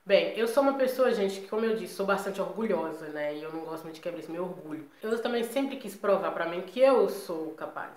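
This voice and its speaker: A woman's voice: lowish voice, lively intonation, brisk, hardly any pauses, darkish tone, echoey room. A Brazilian woman with a Brazilian Portuguese accent, talking in a chatty, emphatic way and often adding tag questions, in a casual personal vlog to her viewers. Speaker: vlogger.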